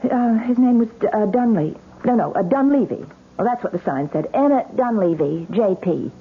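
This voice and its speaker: An actress playing a stubborn, exasperated young newlywed wife in a radio drama. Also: female voice, fairly high pitch, animated, normal speed, breathy edge. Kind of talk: 1970s radio drama acting. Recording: tinny, noisy old radio broadcast.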